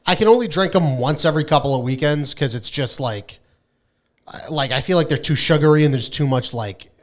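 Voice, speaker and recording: A man's voice: on the high side, a little fast. A man co-hosting a podcast in casual, irreverent chat, brash and emphatic, swearing freely. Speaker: podcast co-host.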